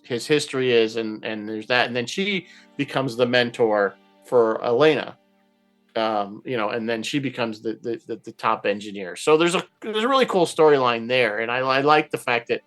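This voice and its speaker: Male panelist, medium pitch, conversational, thinks aloud with repeats, faint music underneath. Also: normal speed, fairly bright tone. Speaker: panelist in a TV series discussion. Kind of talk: casual roundtable discussion.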